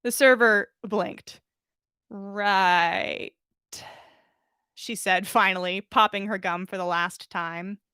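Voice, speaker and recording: A woman hosting a podcast, speaking in an expressive, casual storytelling style. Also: mid-range voice, a little slow, few pauses, clear, resonant tone, dry recording, studio quality. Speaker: podcast host reading fan fiction. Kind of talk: expressive story reading and casual livestream chat.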